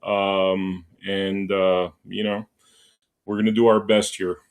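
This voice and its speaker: A man's voice: low voice, little pitch movement, takes it slowly, smooth. A man from Los Angeles hosting a podcast interview in a casual chatty way, often saying "man" and "like". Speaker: podcast host.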